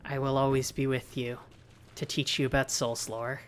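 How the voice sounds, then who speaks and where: A woman with a low, androgynous and slightly raspy voice, chatting casually with viewers while she plays and talks game lore. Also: flat delivery, moderate pace, slight background noise. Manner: soothing, chatty, thanks viewers often. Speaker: livestreamer and lore host. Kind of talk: casual livestream chat while gaming.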